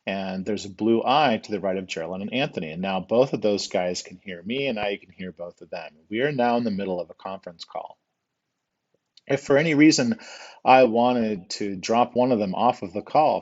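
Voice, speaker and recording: A man giving an informal step-by-step software tutorial in a patient, explanatory, conversational way. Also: lowish voice, fairly quick, muffled recording.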